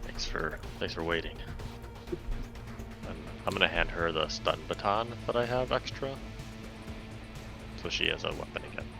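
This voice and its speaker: A man with an extremely low, gravelly voice takes part in a tabletop game. His talk is casual, and music plays clearly behind him. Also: expressive, normal speed, long pauses. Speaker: player in a tabletop roleplaying game. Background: background music.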